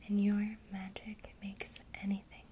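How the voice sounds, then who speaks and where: Woman, ASMR guide, unhurried soft whisper, faint crinkle behind. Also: mid-range voice, slight background noise. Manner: soft unvoiced whisper, slow and calm. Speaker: ASMR creator teaching witchcraft.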